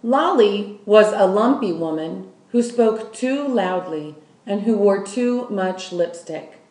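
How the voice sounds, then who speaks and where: A woman narrates a children's book with lively, swooping intonation at an unhurried pace. The room around her is noticeably echoey. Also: medium pitch, recorded from a distance. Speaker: school staff member reading aloud. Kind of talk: scripted storybook narration, read aloud.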